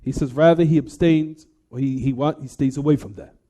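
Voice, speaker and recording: A man delivers a religious lecture at a rapid pace in a soft-edged, relaxed voice that sits a little high. He explains a text point by point, in a teacherly way, often turning statements into rhetorical questions. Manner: didactic, poses rhetorical what-questions to listeners.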